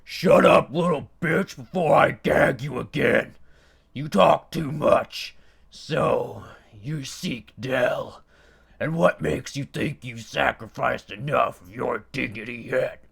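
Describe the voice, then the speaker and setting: A man voicing a huge, domineering headless monster in bone armor, with a gruff, bellowing delivery. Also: medium pitch, slow pace, pitched above the performer's own voice, heavily acted. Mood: impatient. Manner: bellowing and bossy.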